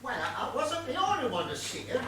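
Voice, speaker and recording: A high-voiced, muddled gardener played by a stage actor in a comic play. The character stammers and rambles earnestly, ever deferential and literal. The sound is very echoey and far from the mic, with faint noise. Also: big pitch swings, brisk, fairly bright tone. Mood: earnest, pleading. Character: rambling, muddled, literal-minded estate gardener being questioned. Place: stage of a small theatre. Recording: distant echoey theatre recording, faint noise.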